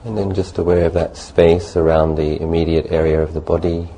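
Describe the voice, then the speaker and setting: A man leading a guided meditation as a teacher, speaking gently and unhurriedly in short phrases with long pauses. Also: low-pitched, level tone, low-quality recording. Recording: faint background noise.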